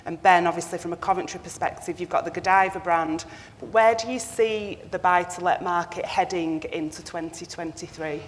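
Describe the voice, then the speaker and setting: Woman moderating a panel. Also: lowish voice. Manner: brisk, directing questions. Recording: echoey hall, faint noise.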